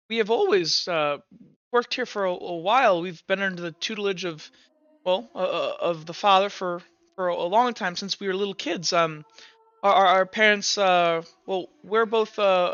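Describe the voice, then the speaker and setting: A nervous young noble girl studying at a temple, as a man puts her on, stumbling over her words. The voice is bright and smooth, recorded dry on a slightly dull mic. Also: high-pitched, no echo. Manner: stammering, hesitant.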